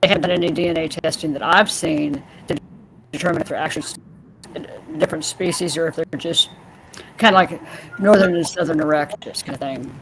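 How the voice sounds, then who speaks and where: A woman's voice: low-pitched, fairly quick. Woman with a scratchy, tiring voice. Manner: informative, hesitant with fillers, self-correcting. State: voice going scratchy. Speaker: guest in a group chat. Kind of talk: casual chat.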